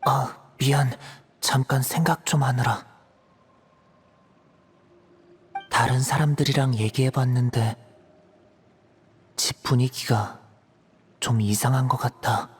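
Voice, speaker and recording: A man's voice: medium pitch, steady tone, measured pace, long pauses, slightly rough, soft background music. A man voicing a reserved, worried teenage boy in an anime-style game, speaking tentatively and in a subdued way. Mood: hesitant.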